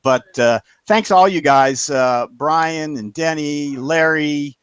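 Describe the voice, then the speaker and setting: A man hosting a web show, wrapping up with halting, filler-heavy announcements and friendly thanks to panelists and viewers. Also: on the high side, clean tone, dry recording. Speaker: show host.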